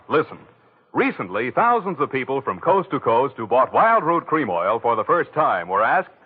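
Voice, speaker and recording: A male radio announcer reads an advertisement with a lively, swooping emphasis and a slightly husky edge. The sound is thin and phone-like, like an old radio broadcast with faint music. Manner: smooth, emphatic pitchman. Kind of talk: scripted commercial read.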